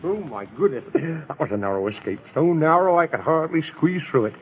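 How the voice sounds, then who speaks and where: A muffled, noisy old radio recording carries a man acting a kindly, flustered Man in the Moon. His fairly high voice swings widely as he fusses and frets in storybook serial style. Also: expressive, a little fast, close to an everyday voice. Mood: relieved, flustered. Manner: fretful, repeats exclamations.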